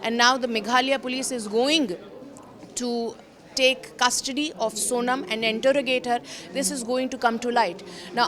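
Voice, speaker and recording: A woman with an Indian accent presenting a news explainer as a reporter, speaking emphatically and repeating phrases for stress. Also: a little high. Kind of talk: news explainer commentary, semi-scripted.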